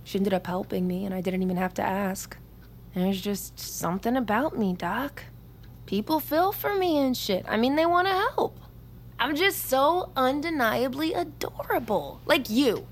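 A woman's voice: on the high side, expressive, moderate pace. Brash young woman soldier, faint noise. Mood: flippant, cocky. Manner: profane, flippant, teasing. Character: brash, cocky young female army recruit, manipulative, wounded. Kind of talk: naturalistic audio drama acting.

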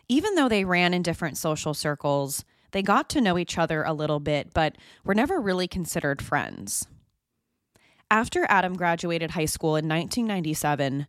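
A woman hosting a true crime podcast, telling the story in a measured, scripted narration. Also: on the low side, no echo, clean studio sound. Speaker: podcast host.

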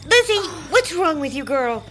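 A woman's voice: high-pitched, sing-song, normal speed, noisy background. A woman playing a menacing, unhinged aunt in an audio drama, coaxing with false sweetness that turns threatening. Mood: wheedling, sinister. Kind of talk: audio fiction acting for children.

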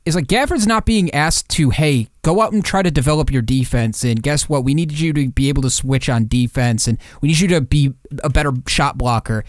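A man's voice: mid-range voice, no echo, studio quality. A man co-hosting a sports podcast in casual, slangy, emphatic talk with rhetorical questions.

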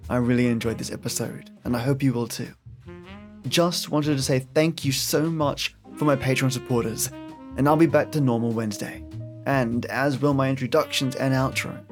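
A man's voice: mid-range voice, steady tone, normal speed. Man hosting over guitar music. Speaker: podcast host. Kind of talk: casual scripted introduction.

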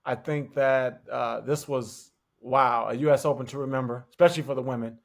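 Relaxed man hosting a podcast. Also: mid-range voice, normal speed, creaky. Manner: relaxed, conversational. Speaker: podcast host. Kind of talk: podcast interview.

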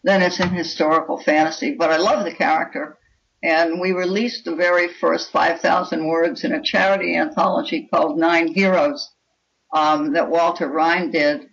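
A woman's voice: deep voice, fairly even intonation, moderate pace, creaky. A dull, lo-fi recording carries the voice of an older woman. She is a guest author giving conversational, articulate explanations in an interview.

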